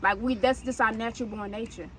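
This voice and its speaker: A woman's voice: on the high side, fairly even intonation, quick pace, fairly bright tone. Laid-back woman in her twenties. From South Carolina. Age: 20s. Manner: laid-back, hesitant, with many ums. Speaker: rapper, guest. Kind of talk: casual interview answers. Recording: clear background noise.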